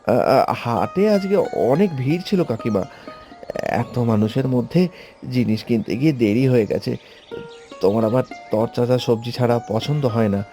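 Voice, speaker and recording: A meek young village lad from a cartoon acted by a man, with a lively, swinging intonation. He sounds apologetic and put-upon. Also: medium pitch, normal speed, faint music behind, natural voice. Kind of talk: animated cartoon voice acting.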